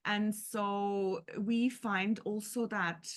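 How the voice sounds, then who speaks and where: A woman's voice: mid-range voice, fairly even intonation, drawn-out words. A woman in her forties hosting an online parenting talk, upbeat and enthusiastic, on a video call from home. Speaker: host of parenting community talk. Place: home room with shelves.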